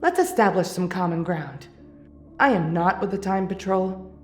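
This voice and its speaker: A woman playing a cold, vengeful young female warrior in an anime fan drama, speaking deliberately and accusingly. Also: lowish voice, lively intonation, a little mellow, lightly acted. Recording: echoey voice with faint music.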